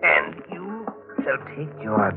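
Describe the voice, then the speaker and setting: Casting: cold-blooded, mocking killer for vintage radio thriller; unhurried, swooping delivery, muffled old broadcast sound. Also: male voice, on the high side, sing-song, vocal fry, heavily acted. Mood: gloating menace. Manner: slow, deliberate, mocking. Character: cold, gloating male hired killer, menacing and taunting. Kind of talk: 1940s radio mystery acting. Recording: muffled vintage 1940s radio recording, music behind.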